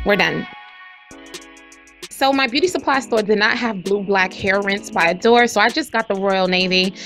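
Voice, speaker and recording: Woman vlogger, raspy, chatty, mid-range pitch, light music underneath. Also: a bit bright. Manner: chatty, addresses viewers directly. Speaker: hair vlogger. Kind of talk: casual hair review and tutorial.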